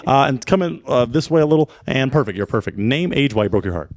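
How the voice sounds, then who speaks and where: A man's voice: mid-range voice, animated, fast, dry recording. A man hosting a dating show in an upbeat conversational style, with encouraging interjections.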